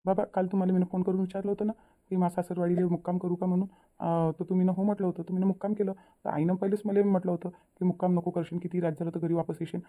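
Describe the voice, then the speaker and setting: A woman's voice: on the low side, flat delivery, very fast, close to an everyday voice. A woman voicing an apologetic young newlywed son in a cartoon family drama, pleading and explaining himself in a Vidarbha Marathi accent. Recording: tinny phone-like narrow audio.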